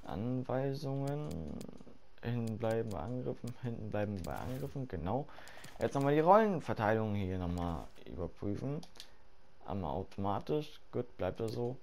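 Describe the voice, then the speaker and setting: A hoarse man talking through his game setup in casual commentary, swearing freely and thinking aloud with fillers, late at night. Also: medium pitch. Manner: loud and excited at the start, quieter later. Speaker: gaming YouTuber. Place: home room at night.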